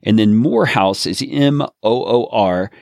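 A man's voice: lowish voice, unhurried, gravelly, darkish tone, dry recording, clean studio sound. A man hosting a podcast interview, talking with enthusiasm and wrapping up with long explanations for his listeners. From United States. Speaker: podcast host.

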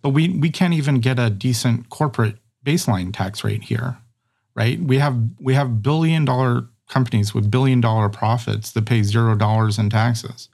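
A man's voice: mid-range voice, normal speed, gravelly, darkish tone. A middle-aged man hosting a conversational podcast, rambling through his thoughts with many fillers and restarts.

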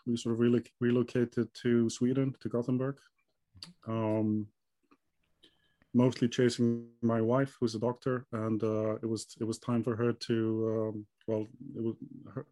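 A man from Iceland in his thirties talks through his story in an even, level tone. He often stops with ums and starts over. His voice sounds soft and mellow, and the sound is dry and close. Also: medium pitch, no echo. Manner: hesitant, many ums and restarts. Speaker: guest. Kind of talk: conversational interview answers.

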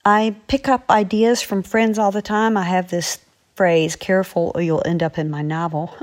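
Lower-pitched woman author chatting to young readers. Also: fairly even intonation, normal speed. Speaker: author, regular segment guest. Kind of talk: friendly talk to young listeners.

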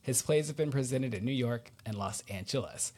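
A man's voice: mid-range voice, a little mellow, slightly rough. A man hosting a podcast in casual interview chat, enthusiastic and informal. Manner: enthusiastic, informal, quick to laugh. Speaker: podcast host.

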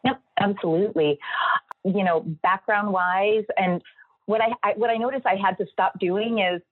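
A woman's voice: medium pitch, fairly even intonation, moderate pace. Middle-aged woman on a thin phone-like line. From United States, born in the Philippines. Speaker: podcast guest. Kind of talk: reflective casual conversation.

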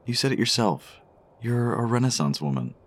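A man acting a shy, smitten stranger in an audio romance, speaking haltingly and tenderly with a husky, gravelly voice. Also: medium pitch, sing-song. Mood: earnest, admiring. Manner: halting, hesitant, soft-spoken.

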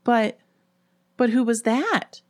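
A woman's voice: medium pitch, takes it slowly, a little mellow, voice pushed up, natural voice. A woman voicing an anxious, easily startled schoolgirl in a read-aloud story, with a breathless, urgent delivery. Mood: stammering, fearful. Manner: gasping, yelping, breathless delivery.

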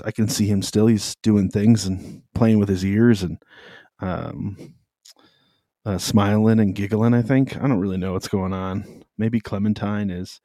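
Male podcast co-host, warm low voice, casual rambling delivery over a call. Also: dry recording, studio quality. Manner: loose, rambling, filler-heavy talk. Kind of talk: casual faith podcast chat.

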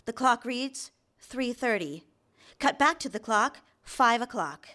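A high-pitched young secretary in a live radio drama. She is eager and apologetic, hesitant and deferential with others, and intimate and confessional as a narrator. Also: lively intonation, slow pace, bright, forceful tone, full character voice. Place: live on stage in a theater.

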